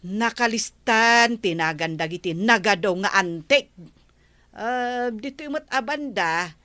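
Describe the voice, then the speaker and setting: A woman's voice: medium pitch, expressive, a little slow, bright, forceful tone, no room sound. A woman playing a self-satisfied village official in a comedy radio drama, with a drawling manner. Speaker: actor in an Ilocano comedy drama.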